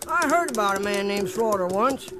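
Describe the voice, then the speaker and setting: An actor playing a nosy, wry old trail-hand sidekick with folksy American speech in a western radio drama. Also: male voice, high-pitched, lively intonation, moderate pace. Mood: curious, wry. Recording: noisy old radio recording.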